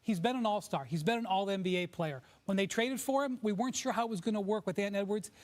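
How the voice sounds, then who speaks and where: A man with a high-pitched voice working as a TV basketball analyst. He gives studio commentary in broadcast style. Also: fast talker.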